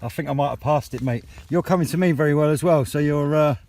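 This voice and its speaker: A man's voice: a little high, fast talker, a little mellow. A British man chatting casually outdoors as a vlogger and portable radio operator. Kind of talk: casual outdoor vlog talk and radio chat. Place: outdoors on a sunny hilltop. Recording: outdoor recording with clear background noise.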